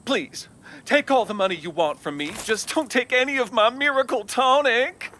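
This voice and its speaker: An actor playing a slippery but soft-hearted snake-oil peddler in an Old West audio drama, with theatrical delivery. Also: male voice, very high-pitched, expressive, normal speed, slight background noise, full character voice. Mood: feigned panic. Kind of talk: musical western audio drama acting. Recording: music behind the voice.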